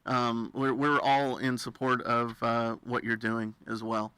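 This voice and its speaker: A man's voice: medium pitch, level tone, measured pace, bright, forceful tone, smooth, dry recording. An American man hosting a friendly radio interview with an enthusiastic, affirming, folksy manner. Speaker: radio host interviewing a guest.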